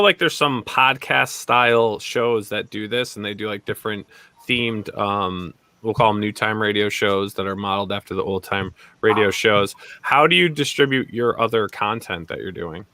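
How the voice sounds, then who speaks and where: A man's voice: medium pitch, moderate pace, bright, forceful tone, dry recording. A man hosting a show with casual, informal and jokey interview chat. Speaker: show host.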